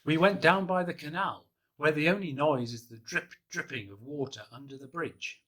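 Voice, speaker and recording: A man gently reading a children's picture book aloud in the first person, in a soft, hushed and unhurried way. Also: a little high. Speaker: storybook reader.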